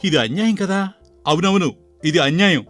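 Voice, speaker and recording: A man's voice: high voice, animated, normal speed, fairly bright tone, faint music behind. A man voicing a village cook's assistant, a plain-spoken underling, in a cartoon moral story. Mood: aggrieved.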